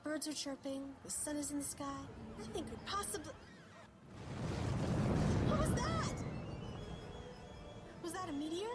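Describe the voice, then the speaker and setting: A high-voiced, bookish young mare from a cartoon, acted by a woman, fretting and exasperated with dramatic flair. The voice plays over speakers in a panel room with music behind it. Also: very animated, a little slow, pauses often. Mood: panicked. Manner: dramatic, exasperated, talks to herself. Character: bookish, fretful young female cartoon pony. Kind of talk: animated fan audio drama acting.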